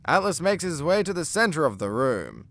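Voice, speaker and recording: A man's voice: a little high, very animated, moderate pace, fairly bright tone. A man narrating a scripted superhero comedy radio play with a dramatic announcer's delivery.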